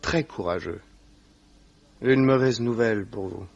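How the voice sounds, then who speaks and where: An actor playing a rich, loyal young heir with a formal, earnest manner, in 1950s French radio drama style. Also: male voice, fairly low pitch, unhurried, creaky. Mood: grave, consoling. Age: young adult. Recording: dull old radio recording.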